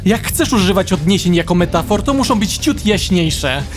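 A man's voice: high voice, animated, moderate pace. A man playing a haughty, irritable young deer in a comedic anime parody dub, pompous, scolding and prone to swearing. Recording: music behind the voice.

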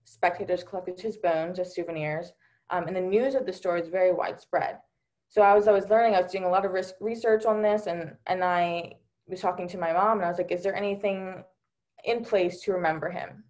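Young woman panelist, slightly low voice, sincere storytelling over a video call. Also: fairly even intonation, a little fast, a little mellow. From Delaware, USA. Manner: earnest, many fillers like um and you know. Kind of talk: personal account on a virtual panel.

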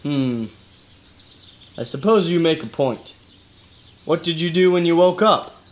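Man playing an exasperated higher-voiced hardboiled detective. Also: expressive, a little slow, a little hiss or hum. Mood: softening, puzzled. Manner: weary, skeptical, colloquial. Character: exasperated, hardboiled male detective questioning his cousin. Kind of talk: noir-parody radio drama acting.

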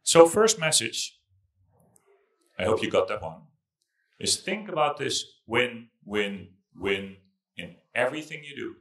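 A middle-aged man giving a keynote speech to an audience through a handheld microphone, with a halting delivery and repeated words. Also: mid-range voice, sing-song, a bit bright. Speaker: conference keynote speaker, investment fund chief executive. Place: large indoor conference hall stage.

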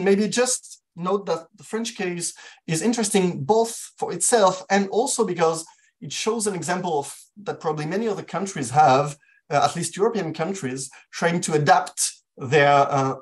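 A man giving an academic conference talk over a video call from a home study, hesitating and restarting often. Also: sits high. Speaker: conference speaker.

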